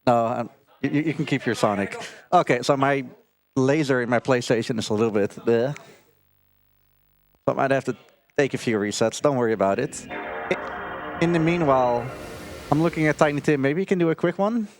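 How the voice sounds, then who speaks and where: A man's voice: mid-range voice, faint music behind. A man casually commentating his speedrun live, joking and chatting. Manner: joking, chatty, quick laughs.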